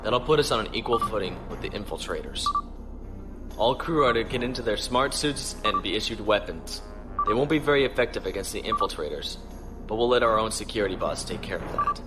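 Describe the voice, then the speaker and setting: An actor playing a calm, commanding starship captain in a measured, authoritative way for an audio drama. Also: male voice, fairly low pitch, over loud music, close to an everyday voice. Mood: briskly planning.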